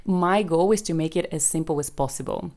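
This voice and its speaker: Low-voiced woman from Bulgaria hosting a podcast. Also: fairly quick, darkish tone. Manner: chatty, conversational, frequent ums. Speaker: podcast host and business coach. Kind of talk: solo podcast explainer.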